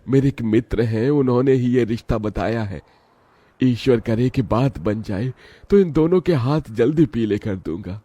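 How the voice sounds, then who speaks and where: A man's voice: medium pitch, animated, normal speed, warm tone, no echo, natural voice. A man voicing a poor, devoted village father of five daughters in a cartoon drama, talking earnestly and pleading. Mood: hopeful.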